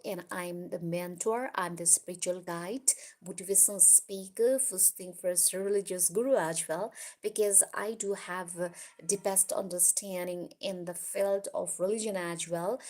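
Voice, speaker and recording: A woman from Nepal, hosting a live stream as a motivational speaker, talking in a halting, grandiose way with many fillers. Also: fairly low pitch, darkish tone. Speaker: livestream host, motivational speaker. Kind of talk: live-stream monologue greeting viewers.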